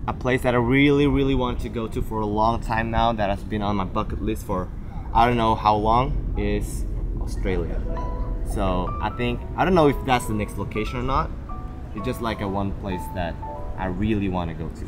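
A man's voice: fairly low pitch, moderate pace, over music. Joking young man in a casual vlog. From of Vietnamese and Taiwanese parents. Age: 20s. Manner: chatty, joking, self-deprecating. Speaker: vlogger.

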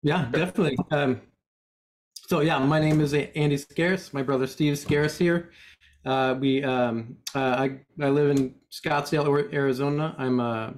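Middle-aged male guest, average pitch, casual and a bit halting. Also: darkish tone. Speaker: guest, engineering manager and writer. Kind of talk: casual self-introduction in interview. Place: indoors on a video call.

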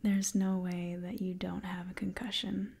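A woman in her twenties playing a caring, gently scolding doctor in a whispered ASMR roleplay, soft and breathy. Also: fairly low pitch, little pitch movement, unhurried, a little hiss or hum. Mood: concerned. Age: 20s. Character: caring, chiding young female doctor to mutant heroes. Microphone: close microphone.

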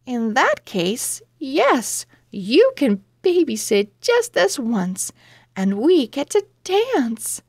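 A woman voicing a kindly, cautious parent owl in a storybook, measured and firm. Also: sits high, huge pitch swings, a little mellow, slightly rough, dry recording, studio quality, heavily acted. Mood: cautious approval.

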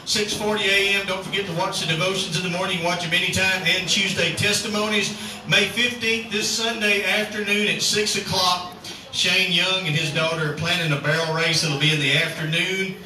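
A man's voice: sits high, steady tone, moderate pace, pushed and bright. A man, a cowboy church pastor giving folksy announcements and a sermon outdoors, calling on the crowd to answer. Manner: folksy, chatty, calls for crowd response. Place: outdoor rodeo arena at sunset. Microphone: far camera across the arena. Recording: echoey outdoor arena from far off, noisy.

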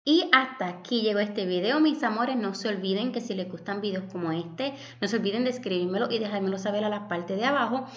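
Young woman, Puerto Rican Spanish accent, affectionate chatty home-vlog narrator, mid pitch, a bit muffled. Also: fairly quick, hardly any pauses, music in the background. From Puerto Rico. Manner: affectionate, chatty, addresses viewers as "my loves". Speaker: home vlogger.

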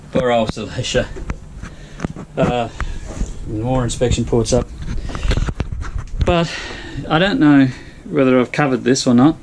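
An older Australian man talks in a folksy, chatty way, thinking out loud. His voice sits at an ordinary pitch. He is recorded on an action camera inside a sailboat cabin. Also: noisy background. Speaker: sailing vlogger. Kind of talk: casual vlog narration.

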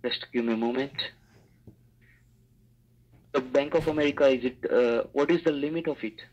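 A man's voice: mid-range voice, normal speed, a little mellow. A man on a phone call asking scripted official questions in an insistent, officious way. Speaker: phone scammer posing as an official.

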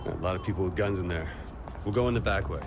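An actor playing a tough, impatient gang boss in a video game, giving blunt orders. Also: male voice, sits low, quick pace, background noise. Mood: determined.

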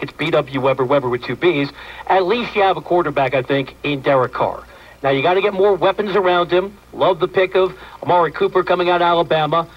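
A man's voice: fairly high pitch, dull audio. A man hosting sports talk on the radio, heard through a vintage tube set. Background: played through an old table radio.

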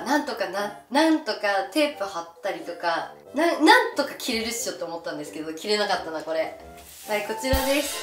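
A young woman chatting casually to camera as she presents, with an exclamatory, bashful manner. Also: medium pitch. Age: young adult. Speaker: gravure idol presenting outfits. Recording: roomy echo from camera distance. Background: faint music.

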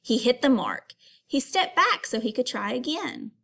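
A woman with a fairly high voice speaks as a teacher to young children. She delivers a read-aloud lesson in an instructive, expressive storytelling manner, addressing her listeners as pupils. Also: moderate pace, vocal fry.

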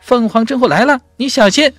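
Fantasy audiobook fire spirit: small, eager, high-pitched and exclaiming. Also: male voice, a little fast, a bit bright, dry recording, soft background music. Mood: excited, warning.

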